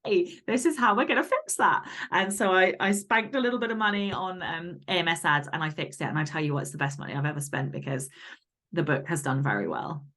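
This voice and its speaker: A woman speaking as a guest author and podcaster in casual interview chat, candid, animated and emphatic. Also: fairly low pitch, fast.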